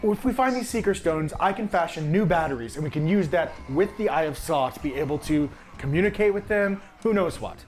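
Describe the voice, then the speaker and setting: A man's voice: fairly high pitch, animated, brisk, few pauses, a little mellow. A man playing a tabletop fantasy game, speaking in character as a player.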